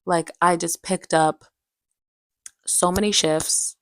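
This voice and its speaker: A young woman telling personal stories in a casual vlog style, with an animated, slangy, playfully dramatic way of talking. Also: on the low side, slow pace, dry recording. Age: young adult. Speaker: vlogger and aspiring actress. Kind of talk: casual chatty vlog storytelling.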